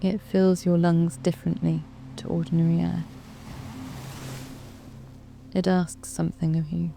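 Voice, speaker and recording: A woman with a British accent narrating a guided sleep meditation in a soft, calm, soothing way, with long measured pauses. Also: fairly low pitch, creaky. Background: background music.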